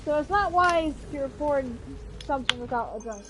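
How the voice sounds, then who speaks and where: A woman's voice: sits high, animated, normal speed, background noise. Chatty woman streamer on a headset. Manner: chatty, echoes and reacts to game lines. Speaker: gaming streamer. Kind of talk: casual game commentary. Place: home kitchen. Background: game music.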